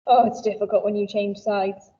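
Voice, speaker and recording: A woman's voice: mid-range voice, level tone, normal speed, mellow. A woman teacher giving a recorded school assembly, cheerful and encouraging as she talks to children. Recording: dull lo-fi sound, a bit distant.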